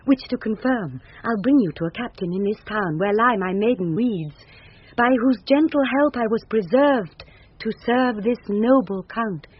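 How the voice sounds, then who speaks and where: An actress with a British accent playing an earnest young gentlewoman disguised as a boy in a radio Shakespeare play. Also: on the high side, lively intonation, normal speed, husky. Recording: muffled old radio broadcast recording.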